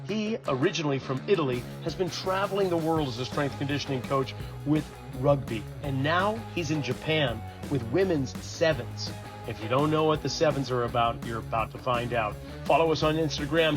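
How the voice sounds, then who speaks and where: A male podcast host with a fairly high voice talks in a friendly, easygoing way. He pokes fun at himself and often repeats words as he goes. Faint music runs underneath. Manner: friendly, self-deprecating, stumbles and repeats words. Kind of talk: casual podcast interview.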